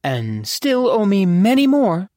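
A woman's voice: low voice, very animated, unhurried, a little mellow, dry recording, studio quality, pitched above the performer's own voice, exaggerated voice. An audiobook narrator voicing a fond, wry older mother, a formal and imperious woman who teases as she talks. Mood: waspish, teasing. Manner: formal, crisp, teasing phrasing. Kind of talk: audiobook character dialogue.

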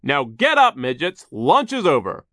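Menacing gruff foreman bully for a storybook, barky, loud, pitched up high. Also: huge pitch swings, unhurried, no echo, heavily acted. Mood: curt, scornful. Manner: barking, curt shouting.

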